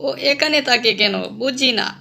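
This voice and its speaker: Brief: sneering cartoon animal, voiced by a woman, lower than her usual voice. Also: moderate pace, bright, forceful tone. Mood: taunting. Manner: taunting. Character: spiteful gossiping forest animal.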